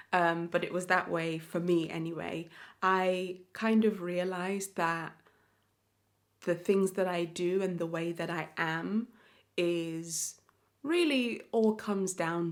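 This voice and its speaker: A young woman, speaking to camera in an earnest, reflective personal vlog. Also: fairly low pitch. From born in Zimbabwe, raised in South Africa. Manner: reflective, earnest, slow and measured. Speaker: vlogger. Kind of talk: personal confessional talk to camera.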